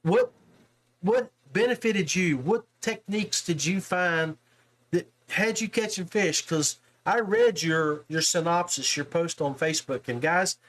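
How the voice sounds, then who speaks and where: High-pitched man hosting a casual podcast. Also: a little slow, fairly bright tone. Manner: chummy, addresses listeners and guest as guys, man, brother. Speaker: podcast host and interviewer. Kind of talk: casual sports podcast interview.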